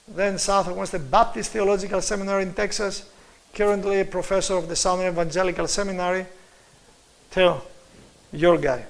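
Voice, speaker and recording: A middle-aged man with a Greek accent giving a religious lecture on scripture in halting English, emphatically repeating key words. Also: high-pitched, darkish tone, rough recording. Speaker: Bible teacher giving a presentation.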